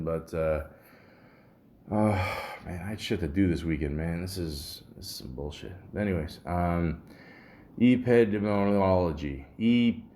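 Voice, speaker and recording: A man speaks in a low, deep voice that sounds a little muffled from a sore throat. He hosts a podcast alone, talking in a loose, rambling, grumbling way, with casual swearing mixed in. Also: mellow. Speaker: podcast host. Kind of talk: casual solo podcast rambling, reading symptom lists aloud.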